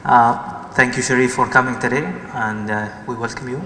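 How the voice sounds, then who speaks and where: The male moderator opening an afternoon session at a business event, halting a little, his bright voice bouncing around the hall. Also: mid-range voice, steady tone. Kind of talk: welcoming event introduction. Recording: echoey hall with music behind.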